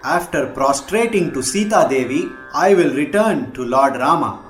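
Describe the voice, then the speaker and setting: A man's voice: on the high side, expressive, moderate pace, close to an everyday voice. A man playing a mighty divine monkey warrior, proudly proclaiming in a solemn, declamatory way for a dramatized devotional audiobook. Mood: proud, defiant. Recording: echoing voice over Indian classical music. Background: Carnatic instrumental music.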